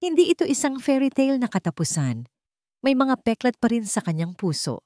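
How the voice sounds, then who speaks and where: Expressive woman story narrator. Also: on the high side, fairly quick, no echo, studio quality. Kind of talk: dramatic scripted story narration.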